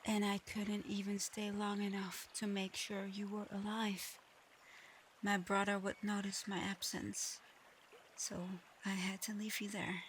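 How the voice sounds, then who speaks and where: A woman voicing a proud but conflicted dragon girl in human form for an ASMR audio roleplay, soft-spoken, hesitant and earnest. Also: mid-range voice, completely flat delivery, a little slow, a little hiss or hum, natural voice. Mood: wistful.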